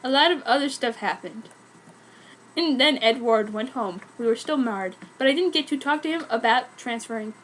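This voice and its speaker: Woman w high voice reading fanfic out loud, dramatic comic narration, overly earnest. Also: expressive, normal speed, a little hiss or hum, low-quality recording. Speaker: fan fiction reader.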